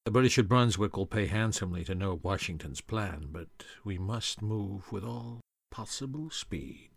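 A man doing a hushed, urgent conspirator. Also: lowish voice, lively intonation, moderate pace, gravelly, slightly rough, dry recording, clean studio sound, exaggerated voice.

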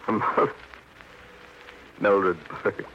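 A man's voice: slow pace, slight background noise, close to an everyday voice. A man playing a bitter, drunken young barfly in an old radio drama, rambling in a theatrical, sardonic, mockingly florid way. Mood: bitter, maudlin. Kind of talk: 1950s radio drama acting. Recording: muffled old radio recording, faint music.

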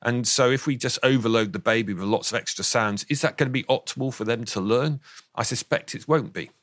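A man in his fifties with a slightly croaky voice, explaining thoughtfully as an interview guest, often restarting his sentences. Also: medium pitch, fairly quick, a bit bright, dry recording, clean studio sound. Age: 50s. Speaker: author and guest. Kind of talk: interview on voice science.

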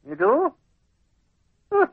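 A man playing a blustery, argumentative, slangy newspaper sidekick in a 1940s-style radio drama. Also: extremely slow. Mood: needling. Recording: muffled old radio recording.

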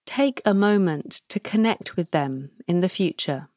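A woman hosting a podcast, leading listeners through a guided meditation with soft prompts and long pauses. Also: on the low side, lively intonation, no echo, clean studio sound. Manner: slow, soft, pausing between prompts. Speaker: podcast host guiding a practice.

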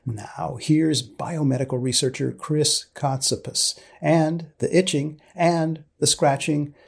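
A man hosting a podcast interview, with a deliberate, deadpan way of asking and presenting. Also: fairly high pitch, creaky, slightly rough, no room sound, clean studio sound. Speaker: podcast host and magazine editor. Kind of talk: podcast interview with scripted introduction.

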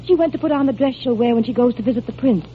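An actress playing a gentle, whimsical, genteel lady in a refined, theatrical 1940s radio style. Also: a little high, very fast, natural voice. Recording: muffled, noisy old radio recording.

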